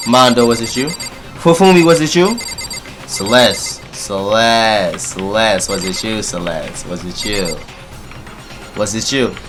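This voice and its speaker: A man with a deep voice doing animated, joking let's play commentary and talking straight to his audience. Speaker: gaming YouTuber.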